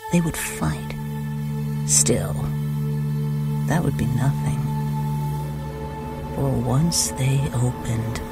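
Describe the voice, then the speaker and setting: A sinister witch acted out by a woman tells a dark horror tale in the first person. The delivery is slow and deliberate, sinking into breathy, menacing whispers. Prominent music plays behind the voice. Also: deep voice. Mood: gleeful menace. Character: sinister, patient witch, a dark messenger of death.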